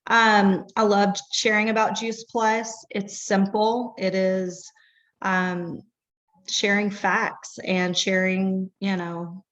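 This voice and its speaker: Slow-talking hoarse woman. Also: mid-range voice, level tone, a bit bright. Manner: unhurried, with frequent um fillers. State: hoarse, losing her voice. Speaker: product distributor. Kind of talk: personal testimonial. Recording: dull lo-fi recording.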